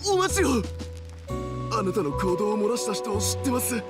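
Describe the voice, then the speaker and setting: Woman as a rapid-fire pleading captive over music. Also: medium pitch, big pitch swings, close to an everyday voice. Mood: desperate, pleading. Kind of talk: Japanese video game character acting. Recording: game trailer with loud music. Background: loud music.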